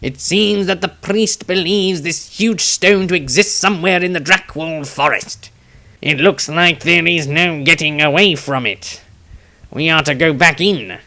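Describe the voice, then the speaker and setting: A man's voice: fairly high pitch, unhurried, vocal fry, pushed and bright, pitched above the performer's own voice, exaggerated voice. A man voicing a wary, solemn male adventurer in a fantasy audiobook diary. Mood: uneasy. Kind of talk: fantasy audiobook character reading.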